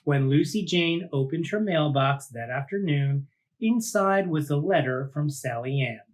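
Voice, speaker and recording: A man reading a children's picture book aloud like a teacher, with deliberate dramatic phrasing and drawn-out words. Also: a little high, expressive. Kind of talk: animated storybook read-aloud for children.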